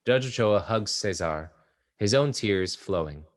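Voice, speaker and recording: A man calmly reading stage directions aloud at a play table read. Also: fairly low pitch, measured pace. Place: art exhibition theater area.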